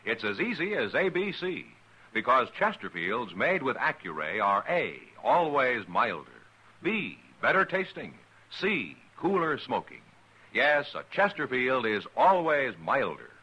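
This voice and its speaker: A man reading a scripted radio commercial in a bright, upbeat and emphatic way. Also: mid-range voice, big pitch swings, unhurried, husky. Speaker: announcer. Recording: dull old radio broadcast.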